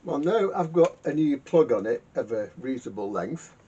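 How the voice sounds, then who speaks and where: A man giving casual hands-on repair commentary in a halting way, muttering to himself and repeating words. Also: big pitch swings, warm tone. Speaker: vintage radio repairer hosting a workshop video. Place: garage workshop. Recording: muffled lo-fi sound with rumbling noise.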